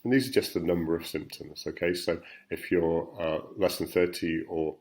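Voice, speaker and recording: A man's voice: deep voice, steady tone, moderate pace, a little mellow. A man, a professor, giving a recorded talk to patients about study results, explaining slides plainly with frequent ums and uhs. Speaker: endocrinology professor.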